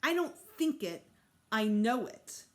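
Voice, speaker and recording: A woman's voice: a little high, sing-song, takes it slowly, voice pushed up. A woman voicing an indignant, sulky and stubborn preteen boy in a storybook, complaining emphatically with sarcasm. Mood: angry, defiant.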